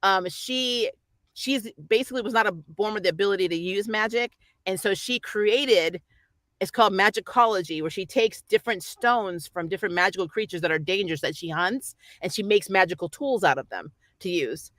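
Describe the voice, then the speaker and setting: A woman's voice: mid-range voice, moderate pace, fairly bright tone, no echo. A woman hosting a pop culture show, chatting casually about shows in an informal, opinionated, rambling way. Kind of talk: casual chat, reviewing shows.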